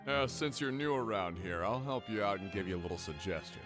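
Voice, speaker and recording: An actor playing a brisk, slightly condescending fight ticket seller in a video game, with a showy salesman's delivery. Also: lowish voice, lively intonation, close to an everyday voice. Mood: advising. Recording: game music behind.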